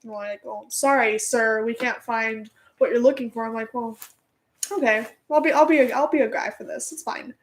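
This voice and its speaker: A woman from Nevada, a guest on a casual talk show, soft-spoken and modest. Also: a little high, steady tone, moderate pace. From Nevada, USA. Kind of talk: casual interview chat.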